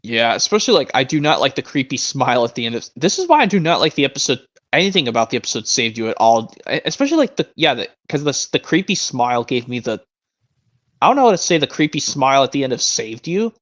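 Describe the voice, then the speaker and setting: A man's voice: medium pitch, big pitch swings, fast, a bit bright. A man in his twenties hosting a casual live stream, rambling and often restarting his sentences. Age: 20s. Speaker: stream host. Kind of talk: casual chat. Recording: muffled lo-fi stream audio.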